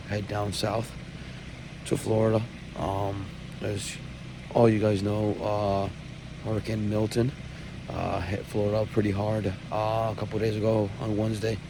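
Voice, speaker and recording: A young man vlogging as he travels, hoarse from losing his voice. His voice sits a little low and crackles with fry, and he hesitates, filling gaps with ums and uhs. Loud background noise surrounds him. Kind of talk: casual vlog to camera. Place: outdoors then at an airport.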